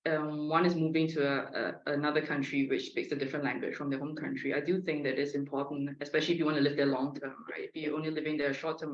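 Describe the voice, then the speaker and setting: A woman's voice: low voice, a little mellow. A woman speaking as a psychologist on a panel, hesitant, with many fillers and restarts. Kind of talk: panel talk. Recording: echoey, muffled call audio.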